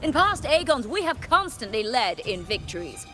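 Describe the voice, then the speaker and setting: A woman's voice: fairly high pitch, very animated, moderate pace, sharp tone, soft background music. An actress playing a proud, imperious noblewoman in a video game, speaking formally and theatrically. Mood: proud, defiant.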